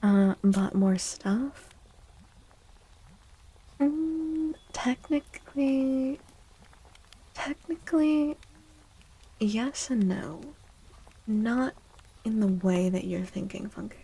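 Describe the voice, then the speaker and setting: A woman livestreaming soft-spoken ASMR chat in a playful, unhurried way, with a breathy, often whispery voice close to the mic. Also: on the high side, expressive, rough recording. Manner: playful, unhurried, repeats phrases. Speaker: livestream host.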